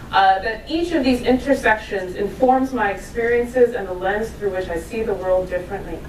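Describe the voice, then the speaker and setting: A woman's voice: medium pitch, recorded from a distance, slight background noise. Woman lecturing in an echoey hall. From New York, USA. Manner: casual and self-deprecating, with asides and laughs. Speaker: speaker giving a talk. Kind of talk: conversational lecture to a live audience. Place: lecture room with audience. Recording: echoey room, voice from afar.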